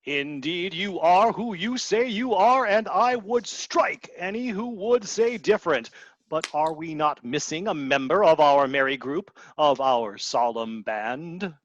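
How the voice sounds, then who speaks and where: A man's voice: fairly high pitch, animated, measured pace, no room sound. A man voicing a pompous, scolding professor who commands misfits in a mock-archaic radio play, with theatrical delivery. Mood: stern. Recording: dull, dry lo-fi video-call audio.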